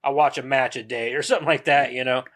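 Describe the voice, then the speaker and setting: A man co-hosting a casual podcast, telling stories in a relaxed, joking way. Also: medium pitch, fast. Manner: joking, laid-back storyteller. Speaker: podcast co-host.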